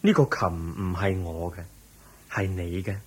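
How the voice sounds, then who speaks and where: An actor playing the Buddha as a calm, patient teacher with a measured, instructive way of speaking, in Hong Kong Cantonese radio drama style. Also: male voice, deep voice, a crowd in the background. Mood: kindly, calm.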